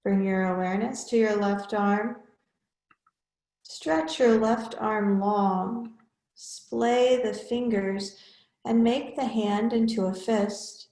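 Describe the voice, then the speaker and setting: A woman yoga teacher leading a guided relaxation in measured, instructional short phrases with pauses between them. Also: mid-range voice, fairly even intonation, mellow, echoey room.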